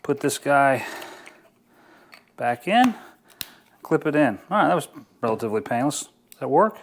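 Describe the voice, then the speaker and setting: A man's voice: medium pitch, very animated, normal speed, slight background noise. A man talking casually through a product unboxing and review, informal and easygoing, thinking aloud as he goes.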